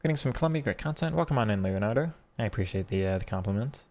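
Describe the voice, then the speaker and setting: A man's voice: lowish voice, expressive, rapid-fire, darkish tone, a little hiss or hum. A man streaming a football video game, answering chat casually and thinking aloud with frequent restarts. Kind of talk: casual live-stream commentary reading chat.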